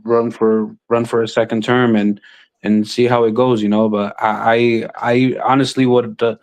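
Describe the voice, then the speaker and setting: Halting man with many restarts. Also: medium pitch, flat delivery, darkish tone. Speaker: city council member. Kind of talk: casual chat.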